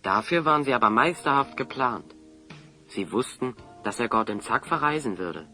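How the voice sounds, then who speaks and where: A man's voice: medium pitch, expressive, moderate pace, sharp tone, faint music behind. A man acting a clever, confident hero in an audio drama, articulate and assertive as he reasons things out. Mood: confident, accusing. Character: clever, confident male hero explaining a scheme.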